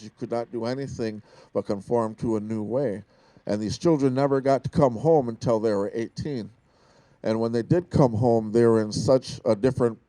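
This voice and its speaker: A middle-aged man with a big voice gives an earnest public speech, telling history like a story and stopping often on "uh." His tone is dark and mellow. The sound is dry and close, with little room around it. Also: medium pitch, no echo, poor audio. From Wisconsin, USA. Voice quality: big, carrying voice. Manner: earnest, storytelling, with frequent "uh" and restarts. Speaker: invited speaker. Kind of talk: public speech at an outdoor event.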